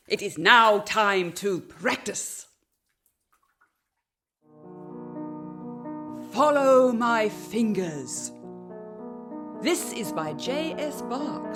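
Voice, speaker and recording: An actress playing a haughty, brittle, respectable 19th-century lady in a period radio drama, speaking precisely and archly. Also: mid-range voice. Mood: instructing, exacting. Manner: imperious, precise, arch, theatrical.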